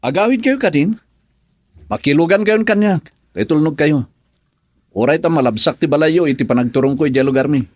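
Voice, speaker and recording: A man's voice: fairly high pitch, expressive, fairly quick, pauses often, darkish tone, no echo, clean studio sound. A man acting in a Filipino radio drama as a troubled young married man, with an Ilocano accent and a hesitant, musing way of talking. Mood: friendly, respectful.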